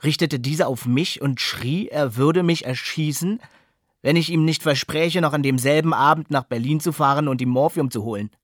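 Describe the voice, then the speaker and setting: An actor playing a witness recounting events in literary radio play narration, factual and precise. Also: male voice, fairly high pitch, moderate pace, no echo, clean studio sound, natural voice. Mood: sober, tense. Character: male witness soberly recounting an addict's threat.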